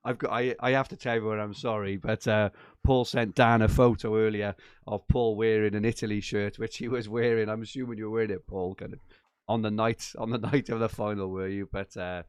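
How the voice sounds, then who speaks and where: A man hosting a live fantasy football podcast from his attic, chatty and enthusiastic with lots of fillers, his voice a little croaky from a late night. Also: on the low side, flat delivery, a little fast, no room sound. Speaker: podcast host. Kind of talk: live-stream podcast chat. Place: home attic.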